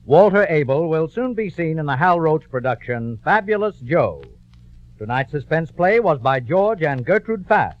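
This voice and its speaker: A man's voice: fairly high pitch, sing-song, normal speed. A man giving formal, scripted 1940s radio announcements and credits in a projected style. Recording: muffled old radio recording, loud music.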